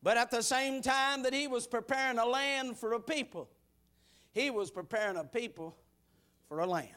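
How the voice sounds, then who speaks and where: A man in his sixties preaching a sermon, building rhythmic repeated lines into shouted bursts, then dropping to quiet, folksy asides. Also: very high-pitched, normal speed. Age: 60s.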